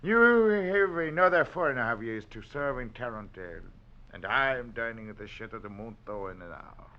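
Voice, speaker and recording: An actor playing a sly, world-weary French detective, a smooth and taunting hunter of men, in a 1940s radio drama. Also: male voice, medium pitch, lively intonation, moderate pace, natural voice. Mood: dismissive. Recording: muffled old radio recording.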